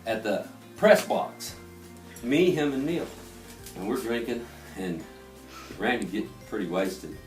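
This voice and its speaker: A middle-aged man telling loose, profane anecdotes to friends in an animated way. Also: on the low side, a little slow, pauses often. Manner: animated, profane, laughing through stories, quoting people. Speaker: storyteller. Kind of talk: casual anecdote among friends. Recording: echoey room, far from mic, music behind.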